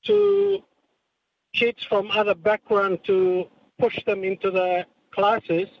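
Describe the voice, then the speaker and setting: Man, radio caller, high voice, halting choppy phrasing, muffled phone sound. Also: big pitch swings, no room sound. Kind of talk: radio talkback call.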